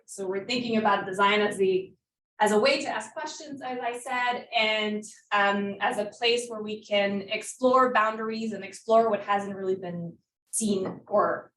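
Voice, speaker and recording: A woman's voice: medium pitch, a bit bright, slight background noise. Woman lecturing in an echoey room. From Montreal, Canada. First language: French. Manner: friendly, hesitant with fillers, laughs lightly. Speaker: guest lecturer, design professor. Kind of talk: academic talk. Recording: echoey room, distant mic.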